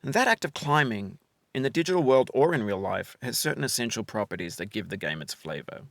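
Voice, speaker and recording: A man reads a reflective, essay-like narration in a measured and articulate way. His pitch is mid-range and moves with lively variation. The sound is very dry, with no room to it. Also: creaky, dry recording. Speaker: game developer narrating his own game.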